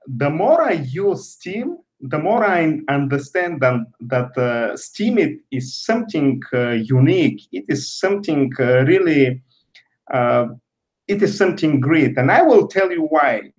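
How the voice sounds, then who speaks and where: A man from Bulgaria in his thirties, answering interview questions carefully with many pauses and fillers. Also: on the high side, very animated, darkish tone. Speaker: guest.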